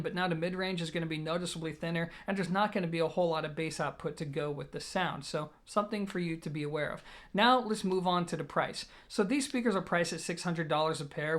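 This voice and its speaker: Guy with a pretty high voice doing a chatty gear review, blunt and emphatic, explains stuff as he goes. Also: a bit bright. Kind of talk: product review to camera, conversational commentary.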